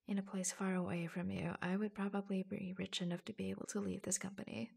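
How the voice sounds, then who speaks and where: Woman acting a slow, breathy secret office girlfriend. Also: medium pitch. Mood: wistful, affectionate. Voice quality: soft, breathy. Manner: hushed, hesitant, confiding. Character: affectionate young woman in a secret office romance, proud, reassuring. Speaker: ASMR roleplay performer. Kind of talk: intimate ASMR roleplay, soft-spoken and often whispered.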